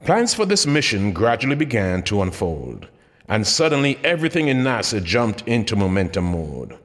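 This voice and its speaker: A man's voice: lowish voice, big pitch swings, normal speed. A man reading his own motivational book aloud as scripted narration, with dramatic, emphatic delivery. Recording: long hall-like reverb.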